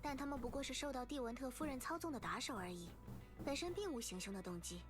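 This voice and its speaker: An actress playing a composed, dutiful police officer in a game cutscene, speaking formally and officially. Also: female voice, high voice, lively intonation, fast. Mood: formal, assured. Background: game music.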